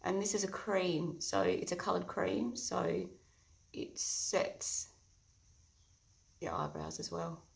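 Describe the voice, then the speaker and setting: A woman with a loud, husky voice, chatting through a casual makeup tutorial early in the morning before her coffee. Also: on the low side, fairly even intonation, takes it slowly, pauses often. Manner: loud, chatty, talks through each step. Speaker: makeup vlogger. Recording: echoey room.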